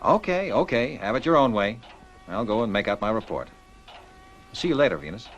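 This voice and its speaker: An actor playing a confident, easygoing spaceship pilot in a 1960s puppet series. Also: male voice, fairly low pitch, big pitch swings, fairly quick, soft background music. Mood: resigned. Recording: dull, muffled vintage TV soundtrack.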